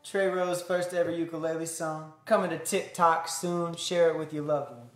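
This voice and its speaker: A man's voice: fairly high pitch, fairly even intonation. Easygoing young man in an echoey room. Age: late 20s. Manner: easygoing, chatty, fast-talking with fillers. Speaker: singer-songwriter. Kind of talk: casual chat between songs at a live-streamed home concert. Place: small room at home with guitars on the wall. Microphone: phone or camera in front of him. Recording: roomy home room, guitar playing behind.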